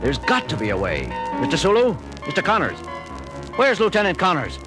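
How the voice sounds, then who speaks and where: A commanding male starship captain in a vintage record drama. His delivery is earnest and clipped, swinging widely in pitch, with music underneath. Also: huge pitch swings, a little fast, poor audio. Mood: urgent. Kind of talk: 1970s children's audio drama acting.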